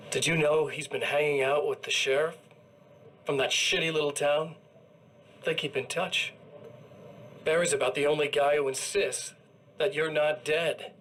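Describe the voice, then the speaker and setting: An actor playing a smug, menacing evil doppelganger who talks in a slow, mocking, sneering way. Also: male voice, fairly high pitch, steady tone, a bit bright, a little hiss or hum. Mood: sinister.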